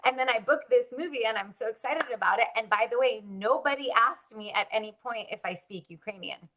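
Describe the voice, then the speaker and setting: Bright-voiced chatty actress. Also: female voice, on the high side, brisk, rough recording. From Russia. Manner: chatty, upbeat, frequent likes and ums, laughs often. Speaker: actress, interview guest. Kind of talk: casual interview chat.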